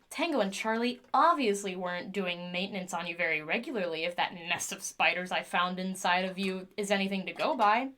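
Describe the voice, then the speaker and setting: An actress playing an eager, flustered young woman tinkerer in an audio drama, rambling and chatty as she corrects herself. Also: on the high side. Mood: hopeful, chatty.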